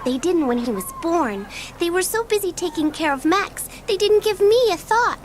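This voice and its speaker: A woman's voice: very high-pitched, lively intonation, moderate pace, slight background noise. A woman playing a bored, sulky young girl in a dramatised audiobook, with a theatrical, complaining delivery. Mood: resentful.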